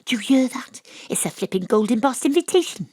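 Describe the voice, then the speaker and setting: A woman's voice: on the high side, expressive, brisk, a little mellow, no room sound, clean studio sound. A woman playing a brash, cocksure amateur detective in an audio drama, narrating theatrically with a knowing, conspiratorial manner. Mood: scandalised, excited.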